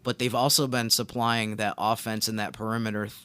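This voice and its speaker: A man co-hosting a sports podcast, talking through basketball analysis in a casual, measured and explanatory way. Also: lowish voice, level tone, a bit bright, smooth, dry recording.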